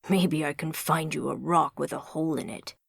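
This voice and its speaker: A woman voicing a big, deep-voiced American man with an American accent who jokes and teases. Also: normal speed, gravelly, slightly rough, no echo, clean studio sound, exaggerated voice. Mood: teasing. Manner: joking, teasing.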